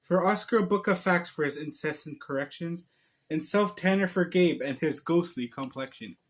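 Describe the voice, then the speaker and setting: Man, slightly high-pitched, informal video host, amateur storybook read-aloud, occasional stumbles. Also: moderate pace. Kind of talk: casual vlog talk and amateur read-aloud.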